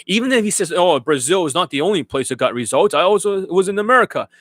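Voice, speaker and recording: A man's voice: high-pitched, animated, fast talker, fairly bright tone, dry recording. Blunt, profane man on a dry mic. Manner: blunt, profane, repeatedly asks if viewers get it. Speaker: dating coach. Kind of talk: unscripted livestream rant to viewers.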